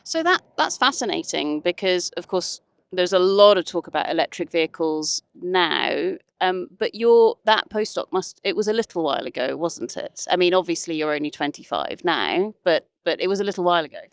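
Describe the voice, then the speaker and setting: Low-pitched woman interviewer, dry sound. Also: animated, normal speed, smooth, no echo. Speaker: podcast host, interviewer. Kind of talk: casual interview chat.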